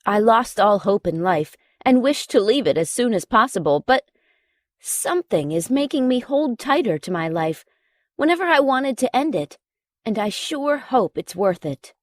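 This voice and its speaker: A woman's voice: a little high, sing-song, moderate pace, dry recording, clean studio sound. A woman reading a scripted audiobook as narrator, telling a story in the first person.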